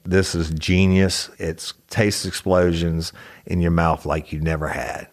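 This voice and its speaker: A man's voice: sits low, flat delivery, measured pace. A man hosting a podcast reads a sponsor ad in a gushing, hyped-up way. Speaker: podcast host reading an ad. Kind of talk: enthusiastic host-read ad.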